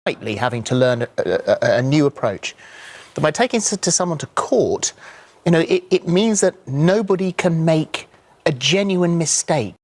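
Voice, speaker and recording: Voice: medium pitch, lively intonation, normal speed, a little mellow. A television presenter asking the guest pointed, challenging questions.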